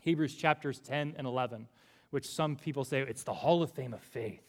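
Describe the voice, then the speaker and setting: A man's voice: medium pitch, normal speed, vocal fry. A male pastor preaching a sermon to a congregation in a church room, earnest and building through repeated phrases.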